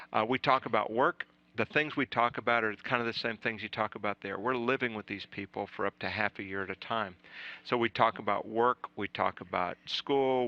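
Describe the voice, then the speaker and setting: Man, astronaut, gravelly, halting with restarts, muffled lo-fi audio. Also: on the low side, bright, forceful tone. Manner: halting, lots of uh and repeated starts. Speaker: astronaut answering questions. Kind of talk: casual explaining with many restarts.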